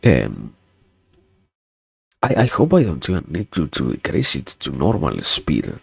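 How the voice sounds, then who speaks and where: A man's voice: extremely low pitch, huge pitch swings, unhurried, creaky, breathy edge, dry recording. A young man with a non-native English accent, chatting on a livestream in a hesitant, rambling way, repeating words and getting wryly sarcastic. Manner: wry sarcasm. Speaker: virtual streamer. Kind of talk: casual livestream chat.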